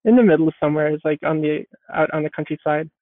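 A woman's voice: low-pitched, fast, no room sound. Woman on a tinny remote line. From Sweden. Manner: thoughtful, restarts sentences while searching for words. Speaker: guest, software developer. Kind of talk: casual interview answers. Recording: dry, phone-like remote audio.

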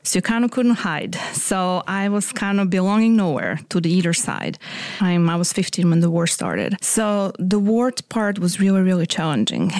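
A woman's voice: fairly low pitch, lively intonation, normal speed, darkish tone, no room sound. Croatian-accented woman sharing her story. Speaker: interviewee. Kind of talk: personal testimony interview.

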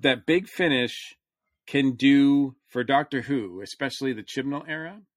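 A man with a mid-range voice talks in a dry, close recording. He is casual and fannish as a podcast co-host, often pausing to hunt for the right word before carrying on. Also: mid-range voice, dry recording. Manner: halting, searching for words mid-thought. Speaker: podcast co-host discussing science fiction. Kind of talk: casual, fannish panel chat.

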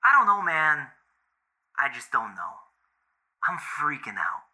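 An anxious boyfriend in a found-footage audio drama, confessing into a voicemail. His voice is forceful and sharp, swinging up and down in pitch. He speaks slowly and haltingly, in self-deprecating slang. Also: wildly swooping pitch, slightly rough, full character voice. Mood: distraught, pleading. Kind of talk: naturalistic audio drama, rambling monologue.

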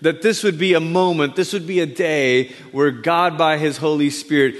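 A man from Malaysia, a guest preacher, opening his sermon in a warm, conversational way with chatty asides. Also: on the high side, fairly even intonation, normal speed. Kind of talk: sermon introduction. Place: large church sanctuary. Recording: long hall reverb.

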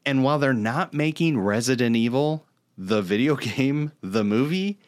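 A man's voice: mid-range voice, lively intonation, moderate pace, dry recording, studio quality. A man co-hosting a podcast in casual chat, with a joking, self-deprecating manner. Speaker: podcast co-host.

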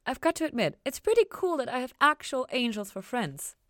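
A woman reader plays a bubbly young woman in a fan fiction audiobook. Her pitch lifts high and moves eagerly. She is frank, casual and teasing, with a kind, curious streak, heard in a dry, close recording. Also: very animated, brisk, a bit bright, dry recording. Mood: warm, upbeat.

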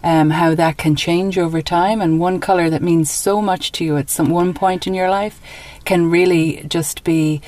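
A woman's voice: on the low side, fairly even intonation, moderate pace, few pauses, darkish tone. A woman from Dublin with an Irish accent, talking conversationally as an interview guest and telling personal anecdotes. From Dublin, Ireland.

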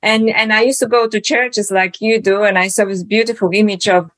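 A woman's voice: medium pitch, fairly even intonation, brisk, fairly bright tone. A woman from Poland with a Polish accent, speaking as a guest author in a podcast interview, reflective and searching, with many fillers and restarts.

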